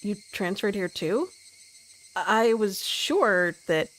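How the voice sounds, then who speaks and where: A woman's voice: medium pitch, animated, slow pace, a bit bright, slight background noise, low-quality recording. A woman voicing a casual, easygoing teenage boy from a visual novel in an offhand manner. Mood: probing.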